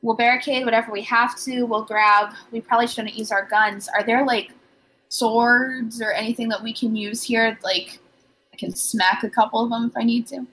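Brash, high-voiced woman player. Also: steady tone, normal speed, fairly bright tone, breathy edge, low-quality recording. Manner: brash, streetwise banter. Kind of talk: in-character tabletop play.

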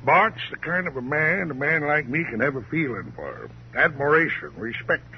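Folksy sly uncle, swooping drawl. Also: male voice, on the high side, very animated, normal speed. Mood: sly, relishing. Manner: drawling, cozy, repetitive, familiar, mock-sweet. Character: folksy sly uncle from out West, lounging in bed. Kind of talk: 1950s radio crime drama acting. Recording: muffled, hissy old radio broadcast.

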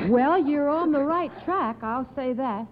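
A woman's voice: high voice, animated, slow pace, a little hiss or hum. A woman hosting a 1950s radio quiz show in an encouraging manner. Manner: encouraging, affirming answers. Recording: tinny, band-limited 1950s radio recording.

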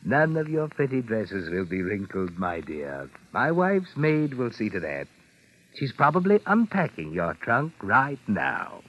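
An actor playing a kindly, fatherly boss in an old-time radio drama, gentle and reassuring. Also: lowish voice, sing-song, a little slow. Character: kindly, fatherly male boss, soothing and solicitous. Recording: dull, noisy old radio recording.

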